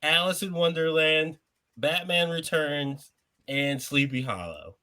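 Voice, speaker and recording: Bright-voiced man hosting a podcast. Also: a little high, slow pace. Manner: laughs often, runs the bracket. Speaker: podcast host. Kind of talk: casual podcast chat.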